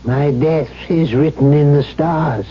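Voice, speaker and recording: An actor playing an old, secretive doctor and possessive father in a 1970s radio drama, with a weighty, halting, formal delivery. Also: fairly high pitch. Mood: brooding. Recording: muffled, noisy 1970s radio broadcast.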